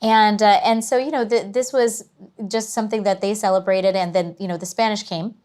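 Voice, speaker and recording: Casting: female guest in her 30s, mid-range voice, warm explainer, chatty. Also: mid-range voice, steady tone, a little fast. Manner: friendly, explanatory, frequent laughs and fillers. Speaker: museum curator guest. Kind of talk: casual interview chat.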